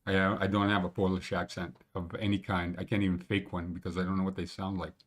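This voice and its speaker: A man's voice: low voice, flat delivery, fast. A man in his sixties with a Canadian accent, hosting a casual mountain-conditions show from a small room at home and rambling through it with blunt, crude jokes. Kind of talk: casual screen-share commentary.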